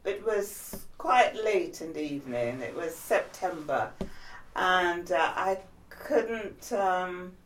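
A woman in her seventies from Trinidad, recalling her life in a measured, reflective oral history interview. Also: low-pitched, roomy sound, far from the mic, low-quality recording. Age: 70s. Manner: measured, reflective, trailing off softly.

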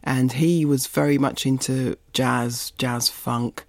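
A woman's voice: very deep voice, fairly even intonation, slow, no room sound, clean studio sound. A middle-aged woman, from Barbados and raised in East London, speaking as an interview guest and telling reflective stories about her childhood. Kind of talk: reflective conversational storytelling.

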